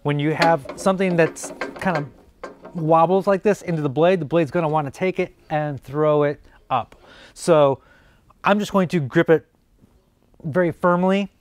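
A man's voice: fairly high pitch, moderate pace, slight background noise. Man explaining woodworking in his workshop. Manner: relaxed, thinking aloud while working. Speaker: woodworking channel host. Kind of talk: casual workshop how-to narration. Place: indoor home workshop.

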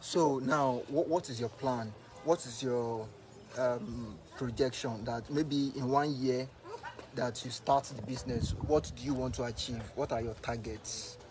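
Male reporter, mid voice, halting questions. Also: medium pitch, steady tone, background noise. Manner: halting, filler-heavy questions. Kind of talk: interview questions.

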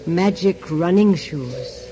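A man's voice: on the high side, slow, dark tone, faint music behind, poor audio. An actor playing a sly psychic shopkeeper in a radio drama, insinuating and theatrical as he bargains for souls. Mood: tempting.

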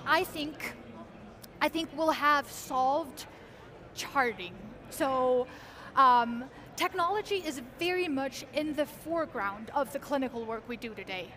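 Young Icelandic woman guest, high bright voice, eager and articulate, lapel mic at a convention booth. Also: animated, measured pace. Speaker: guest, research engineer. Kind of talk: conversational interview. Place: large convention hall booth, indoors.

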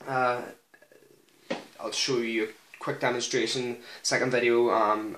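Halting man in an echoey room. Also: mid-range voice, fairly bright tone. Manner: halting, hesitant explaining with frequent pauses. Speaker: hobbyist maker demonstrating a modified guitar. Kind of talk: informal technical demonstration. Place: small indoor room at a cluttered desk.

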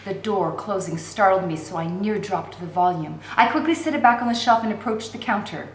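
A woman in her forties reading a novel aloud as an expressive narrator. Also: medium pitch, brisk. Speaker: reader of a novel for learners. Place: indoor room at home. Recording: roomy echo with faint background noise.

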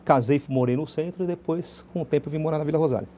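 Middle-aged male guest, São Paulo Brazilian accent, quick and mellow, casual answers, some background noise. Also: a little high. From Guarulhos, Brazil. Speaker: party vice-president, interview guest. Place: TV studio.

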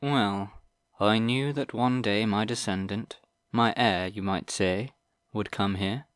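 An ancient old wizard, grave and formal, read by a male narrator. He speaks slowly and deliberately in a lowish, dry voice, close to the narrator's own. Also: fairly even intonation, smooth, no room sound. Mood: measured. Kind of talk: audiobook character reading.

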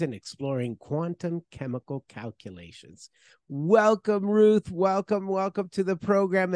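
Upbeat host with a high, dry voice. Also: male voice, no echo. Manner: warm, effusive welcome. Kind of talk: interview show introduction. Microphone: headset mic.

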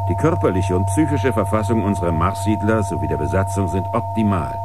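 A male actor as a businesslike spaceship commander in a science-fiction radio play. He gives a formal shipboard announcement in a lowish voice. Loud noise hums behind him. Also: lightly acted. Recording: very noisy, humming shipboard background.

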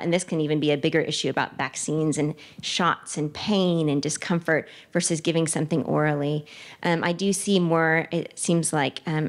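A woman in her thirties, a child neurologist, answering audience questions into a handheld microphone in a conference room, thoughtful and full of fillers and restarts. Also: low voice. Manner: gestures with her free hand while speaking. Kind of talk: answering questions at a medical talk. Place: conference room in front of a wooden wall.

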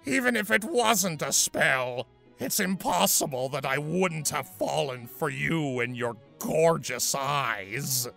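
A performer voicing an ancient male centaur villain in a cartoon story, lovesick and doting, gravelly and theatrical. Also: medium pitch, expressive, slow pace, a bit bright, soft background music, heavily acted. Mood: adoring. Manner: theatrical, syrupy, grandiose. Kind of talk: cartoon fan fiction voice acting.

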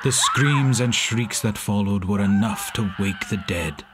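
An actor playing an anguished reanimated monster, a man reciting his story gravely in measured horror verse. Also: lowish voice, heavy background noise, close to an everyday voice. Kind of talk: dramatic horror monologue.

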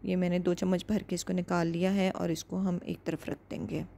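Calm woman narrating a home recipe. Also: on the low side, gravelly. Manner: calm, instructive, measured. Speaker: cooking channel host. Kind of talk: step-by-step recipe voice-over.

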